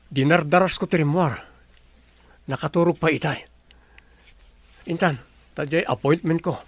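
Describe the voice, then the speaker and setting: A man's voice: on the high side, unhurried, dry recording. A man playing a troubled, brusque boss in a radio drama. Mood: troubled, remorseful. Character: troubled, brusque male boss with a guilty conscience.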